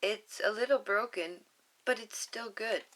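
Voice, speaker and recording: A woman's voice: medium pitch, a little slow, fairly bright tone, voice pushed up, natural voice, rough recording. A woman reading a storybook aloud, voicing a stubborn, loving little girl in a raised, earnest voice.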